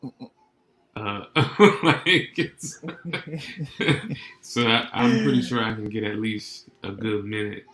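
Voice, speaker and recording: A man's voice: lowish voice, fairly even intonation, slow pace, long pauses, pushed and bright, slight background noise. A man co-hosting a podcast in casual chat, easygoing and teasing his co-host. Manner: easygoing, teasing, laughs often. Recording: roomy echo.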